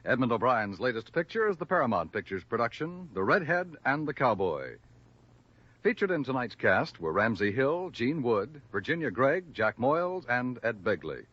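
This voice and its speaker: A man's voice: medium pitch, sing-song, normal speed. An American man announcing radio show credits in a formal 1950s broadcast style. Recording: muffled old radio recording, music behind.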